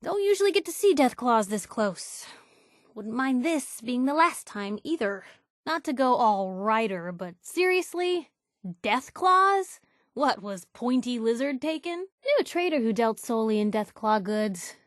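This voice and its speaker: A woman's voice: a little high, expressive, a little slow, no room sound, clean studio sound. An actress playing a plucky, wisecracking young woman reporter, a pushy but caring game companion, with a wry, conversational delivery. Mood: uneasy joking. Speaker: video game companion character. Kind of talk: scripted game voice lines.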